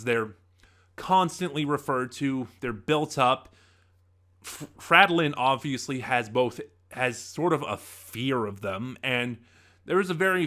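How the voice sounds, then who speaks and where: A man's voice: mid-range voice, sharp tone. A young man giving a casual spoken review of an audio drama, talking conversationally with fillers and restarts.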